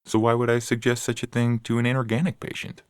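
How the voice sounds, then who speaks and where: A man playing a calm, reassuring therapist in a science fiction audio story, gentle and patient, with music behind him. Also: medium pitch, close to an everyday voice. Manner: patient, gentle, measured questioning. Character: calm, reassuring male therapist to humans and robots. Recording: music clearly under the voice.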